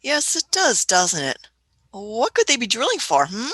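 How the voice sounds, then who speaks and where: Woman acting as a fussy old adventurer, very animated. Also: on the high side, unhurried, husky, no room sound, clean studio sound, full character voice. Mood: curious. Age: older. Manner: dithering, repeats words, punctuates with questioning hums. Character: elderly, fussy, musing female scientist-adventurer, gently scolding. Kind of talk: radio play acting.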